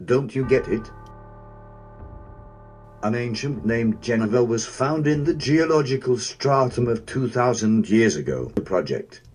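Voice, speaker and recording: A man playing a cold, bitter, grandiose warrior villain in a fan-dubbed video game, speaking in a measured, contemptuous way. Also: mid-range voice, animated, darkish tone, a little hiss or hum, close to an everyday voice.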